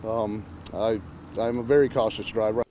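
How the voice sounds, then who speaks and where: Man telling his story outdoors, mellow voice. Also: fairly low pitch, steady tone, normal speed, slight background noise, low-quality recording. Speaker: interviewee. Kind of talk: news interview soundbite.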